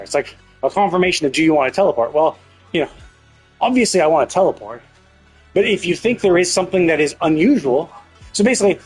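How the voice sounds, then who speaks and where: A man's voice: on the high side, husky, low-quality recording. A man livestreaming a game with casual commentary, explaining his opinions in a rambling, repetitive, mildly exasperated way. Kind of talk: casual live stream commentary.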